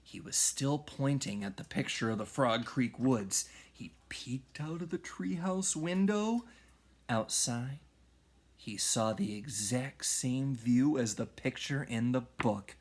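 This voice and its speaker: A man reads a children's story aloud with a very lively, swooping pitch. His pace is slow and deliberate, and his delivery is dramatic, shifting between hushed whispers and big projected lines. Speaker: read-aloud storyteller, music teacher.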